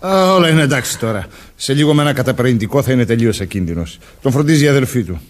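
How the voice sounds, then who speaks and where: A man's voice: medium pitch, lively intonation, close to an everyday voice. An actor playing a reassuring undercover policeman posing as a major in a radio play, explaining things matter-of-factly. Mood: calm, explaining. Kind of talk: Greek radio play acting.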